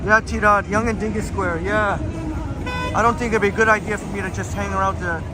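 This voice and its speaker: A man's voice: high-pitched, fairly even intonation, fairly quick, music in the background. A man hosting a casual livestream outdoors on a city street, chatting with viewers and reading their comments. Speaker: livestream host. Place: outdoors on downtown city street at night, walking.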